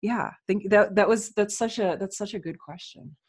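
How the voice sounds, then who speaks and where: A woman's voice: medium pitch, normal speed, darkish tone, breathy edge, no room sound, clean studio sound. A woman in her thirties casually telling her own story as a podcast guest, reflective and self-deprecating. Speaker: interview guest, writer and podcaster.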